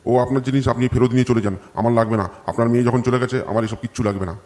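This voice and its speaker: Fast-talking, stubborn jilted husband acted by a man. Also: medium pitch, fairly even intonation, darkish tone, natural voice. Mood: defiant. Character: stubborn, aggrieved young village husband abandoned by wife. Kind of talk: comedy cartoon voice acting.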